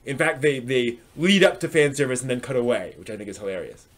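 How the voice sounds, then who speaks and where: A man's voice: medium pitch, lively intonation, fast talker. A man hosting a live stream, talking casually and answering viewers' comments in a hesitant, thinking-aloud manner.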